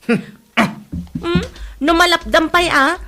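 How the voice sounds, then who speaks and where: Woman as cheeky tattling child, Ilocano accent, squeaky high, piercing, comedy drama. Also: drawn-out words, sharp tone, exaggerated voice.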